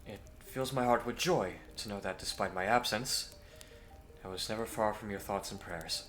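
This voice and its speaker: A man playing a courteous, earnest young Victorian gentleman hero in a scripted audio roleplay, with formal, hesitant phrasing. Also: lowish voice, normal speed, soft background music, lightly acted. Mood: courteous, solemn.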